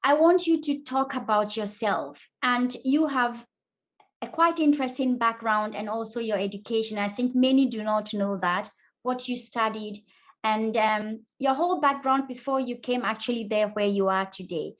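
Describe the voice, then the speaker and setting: Woman, interview host, slightly higher voice, enthusiastic, gushing introductions. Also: moderate pace. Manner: enthusiastic, effusive welcomes. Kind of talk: interview chat.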